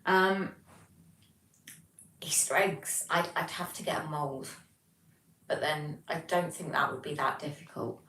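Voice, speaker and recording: Low-voiced British woman chatting on stream. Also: measured pace, pauses often, bright, forceful tone. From Britain. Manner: chatty, rambling, many ums. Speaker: livestream host reading chat. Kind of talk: casual chat. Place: home, flat. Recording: echoey room, distant mic, faint noise.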